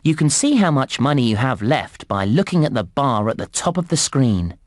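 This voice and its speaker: An actor playing a cheerful male park announcer, giving scripted narration and clear instructions to young players in a children's game. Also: medium pitch, sing-song, normal speed, no echo, studio quality, natural voice. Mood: encouraging, helpful. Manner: upbeat presenter delivery, clear instructions.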